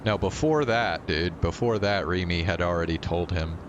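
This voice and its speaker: A man's voice: sits low, moderate pace, dull audio, background noise. A male streamer reading a visual novel aloud and talking to viewers as he goes. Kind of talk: live read-aloud narration of a visual novel.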